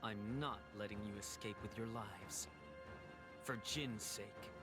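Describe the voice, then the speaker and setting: A man's voice: fairly low pitch, slow pace, loud music behind the voice. An actor voicing a flamboyant, sneering male game villain with mock politeness and a mannered, taunting drawl. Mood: mocking, smug. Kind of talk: dubbed video game cutscene acting.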